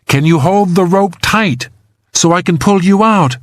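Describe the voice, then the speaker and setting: A man's voice: high-pitched, unhurried, dry recording, studio quality, voice pushed up. A man voicing a determined woman rescuer in an audiobook, firm, urgent and encouraging. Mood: focused. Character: steady, determined woman rescuer, reassuring under pressure. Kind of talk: audiobook character dialogue.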